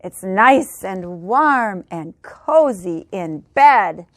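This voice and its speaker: A woman's voice: medium pitch, expressive, drawn-out words, no echo, studio quality, pitched below the performer's own voice. A woman voicing a grumpy, sleepy groundhog who refuses to leave his bed in a storybook reading. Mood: stubborn.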